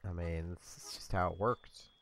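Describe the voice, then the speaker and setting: A stuffed-up man talks at his home gaming setup, his fairly low voice rambling along between ums and little laughs. It is loose, casual gameplay commentary into a desk mic. Faint music plays underneath. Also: fairly even intonation, unhurried. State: congested. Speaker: let's play host.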